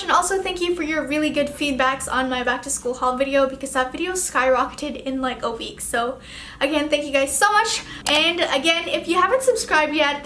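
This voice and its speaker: A woman's voice: high-pitched, bright, forceful tone, roomy sound, a little hiss or hum. A young woman from the Philippines with a Filipino accent, chatting casually through a makeup vlog, full of filler words. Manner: rambling, casual, lots of "like" fillers. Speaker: beauty vlogger.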